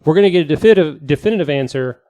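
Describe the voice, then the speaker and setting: A man's voice: on the high side, lively intonation, rapid-fire, dark tone. A man in a casual podcast discussion, insistent and repeating phrases for emphasis. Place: podcast studio.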